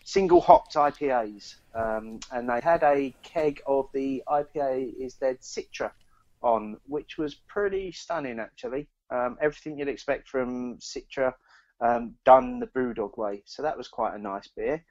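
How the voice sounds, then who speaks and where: A man's voice: mid-range voice, sing-song, measured pace, darkish tone, dry recording, lo-fi sound. A British man co-hosting a casual beer podcast, often repeating words as he gets his sentences going. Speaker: podcast co-host.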